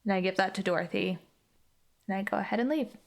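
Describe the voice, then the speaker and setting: A woman's voice: mid-range voice, fast, vocal fry. A woman casually improvising her character's story in a tabletop roleplaying game, hesitant and informal. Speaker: player on an actual-play podcast.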